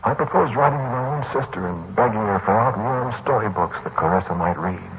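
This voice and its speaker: An actor playing a troubled, kindly lodger in a 1940s radio drama, telling his story in a brooding, deliberate way. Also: fairly low pitch, lively intonation. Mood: brooding, reflective. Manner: brooding, deliberate storytelling that turns pleading in dialogue. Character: troubled, kindly male lodger narrating his haunted memories. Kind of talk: 1940s radio drama acting, first-person narration. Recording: muffled, noisy 1940s radio broadcast.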